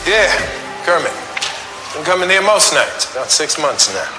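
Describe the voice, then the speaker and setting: A man's voice: a little high, lively intonation, normal speed, over music, lightly acted. A man playing a wary, sardonic shelter administrator in his fifties, with clipped street talk, in a radio drama. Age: 50s. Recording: clear noise behind the voice.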